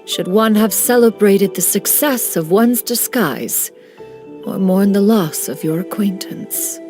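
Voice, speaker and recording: A woman's voice: mid-range voice, slow pace, close to an everyday voice. A woman voicing an ancient crane spirit in a woman's form, who speaks in a stately, archaic way and calls herself "one". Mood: reproachful. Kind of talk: video game character dialogue. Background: game music.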